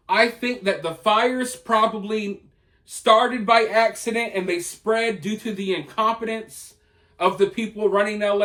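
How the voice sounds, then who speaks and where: An American man with a notably high voice talks straight to his viewers as an online commentator. His delivery is conversational yet emphatic, leaning on rhetorical turns as he argues his opinion. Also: fairly bright tone.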